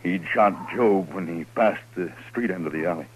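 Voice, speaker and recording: An actor playing a troubled, guilt-ridden American man of the Old West in a radio western, halting and earnest. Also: low-pitched, close to an everyday voice. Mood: haunted, confessing. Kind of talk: 1950s radio western acting. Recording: faintly noisy old radio recording.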